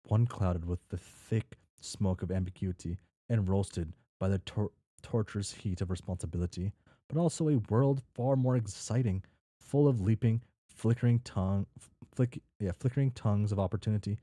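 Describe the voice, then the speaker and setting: Low-pitched mellow man reading aloud. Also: moderate pace, dry recording, clean studio sound. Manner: stumbles over words and repeats them; swears casually. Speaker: reader reacting to a fan fiction. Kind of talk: casual read-aloud with off-the-cuff commentary.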